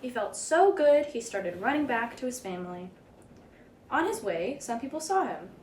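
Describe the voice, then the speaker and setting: A woman reading a children's picture book aloud in an expressive, dramatic storytelling manner. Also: fairly high pitch, moderate pace. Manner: animated, dramatic read-aloud delivery. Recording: roomy echo, background noise.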